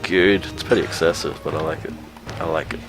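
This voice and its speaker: A man's voice: a bass voice, fairly even intonation, a little fast, gravelly, fairly bright tone. A man chatting casually as he co-hosts a video game stream, with a wry, bantering manner. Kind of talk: casual chat while playing a video game. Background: video game music.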